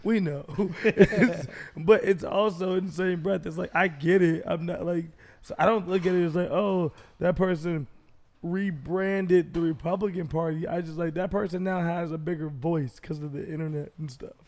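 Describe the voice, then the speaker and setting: A man's voice: on the high side, fairly even intonation, normal speed, darkish tone. A man co-hosting a podcast in casual comedic chat, blunt and profane, often riffing with acted-out quotes. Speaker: podcast co-host.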